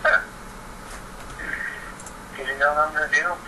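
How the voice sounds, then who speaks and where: A man's voice: a little high, steady tone, a little slow. A young man chatting casually and explaining his opinions eagerly. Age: teens or young adult. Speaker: participant in a phone chat. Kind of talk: casual chat. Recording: noisy, distant phone-call recording.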